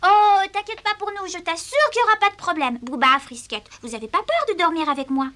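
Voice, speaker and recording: A woman's voice: extremely high pitch, fairly quick, heavily acted. An actress voicing an eager, persuasive little girl who talks a lot, in a French cartoon dub. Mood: delighted. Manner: pleading, talkative, animated. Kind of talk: dubbed cartoon acting in French. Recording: music under the voice.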